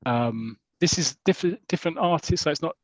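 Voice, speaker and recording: A man's voice: on the high side, expressive, fairly quick, no room sound. A man hosting a podcast in casual chat, retelling stories with enthusiasm. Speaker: podcast host.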